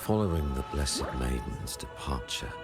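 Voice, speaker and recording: Game role: devout male religious leader, grave and commanding, very deep, clear music underneath. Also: very animated, heavily acted. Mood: solemn. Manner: grave, measured, pious oratory. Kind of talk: dramatic video game voice acting. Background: prominent background music.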